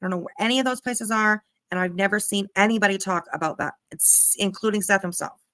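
A woman's voice: mid-range voice, quick pace, a bit bright, dry recording, low-quality recording. A woman giving casual, snarky commentary on a clip, mocking and swearing freely. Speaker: reaction channel commentator.

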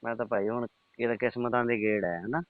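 A man's voice: medium pitch, fairly even intonation, quick pace, no room sound. A middle-aged man, having a casual private chat over the telephone. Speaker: uncle on a phone call. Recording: tinny, band-limited phone call recording.

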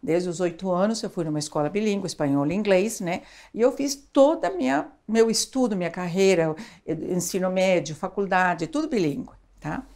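A woman's voice: on the low side, normal speed, few pauses. An older woman from Argentina, a child education expert chatting animatedly as an interview guest, recorded on a lapel mic in a small studio. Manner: animated, gestures a lot, tag questions. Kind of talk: casual interview chat. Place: small studio, seated on a sofa.

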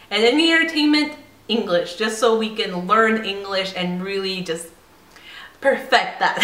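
A young woman vlogging at home, talking straight to the camera in an animated, chatty way. Her voice sits a little high and comes out bright and sharp, with the room echoing around her. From San Diego, United States. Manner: animated and chatty, laughing often. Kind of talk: casual talk to camera. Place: indoor home room by a staircase.

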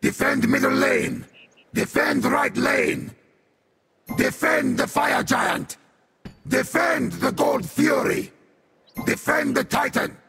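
Gravelly, menacing demon beast warrior. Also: male voice, very high-pitched, fairly even intonation, sharp tone, faint music behind, heavily acted. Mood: commanding, urgent. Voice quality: harsh, gravelly demonic growl. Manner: theatrical, deliberate, menacing. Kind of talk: video game character voice lines.

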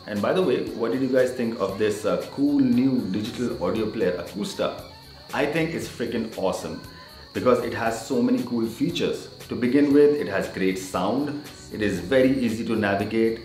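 A man's voice: mid-range voice, darkish tone, roomy sound. A man in his thirties talking to camera in an upbeat, chatty, emphatic way, as a casual video host. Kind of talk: casual vlog talk to camera, giveaway announcement. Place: indoor room with shelves. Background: faint background music.

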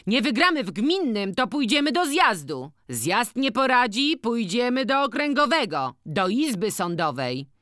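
A reader voicing a scrawny, grasping village scribe who speaks loud and insistent in an audiobook. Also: mid-range voice, big pitch swings, measured pace, hardly any pauses, no room sound, studio quality, pitched above the performer's own voice.

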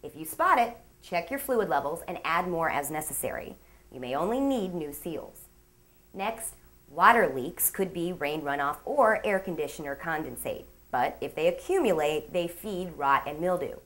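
A woman's voice: sits low, animated, moderate pace, fairly bright tone. A woman hosting a boating magazine show, reading a scripted narration in an upbeat way with playful asides. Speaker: show host.

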